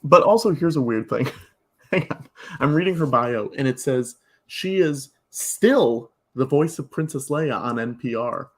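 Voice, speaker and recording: A man's voice: medium pitch, animated, measured pace. A man chatting casually on a podcast, wry, often putting questions to the others. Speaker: podcast speaker. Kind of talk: casual chat.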